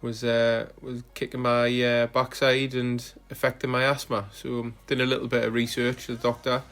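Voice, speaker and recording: A man narrating footage of his own rides as a cycling vlogger, relaxed and hesitant, with frequent fillers. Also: medium pitch, moderate pace. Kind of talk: casual voice-over narration of ride footage.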